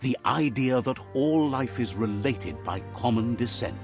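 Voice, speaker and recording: A man playing the wry, sage narrator of an animal fable game, telling it in a measured, philosophical storybook style. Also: mid-range voice, animated, a little mellow, soft background music. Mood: reflective, sage.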